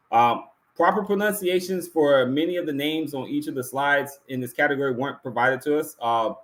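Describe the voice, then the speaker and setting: A man hosting an awards ceremony, presenting informally from a partial script in a casual, courteous manner. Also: mid-range voice.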